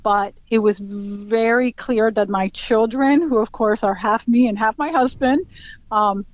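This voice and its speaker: A woman's voice: fairly high pitch, fairly even intonation, a little slow, no echo. A middle-aged woman from Venezuela with a Venezuelan Spanish accent, telling her story earnestly as an interview guest, with many fillers and acted-out quotes. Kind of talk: personal account in an interview. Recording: dull, dry lo-fi sound.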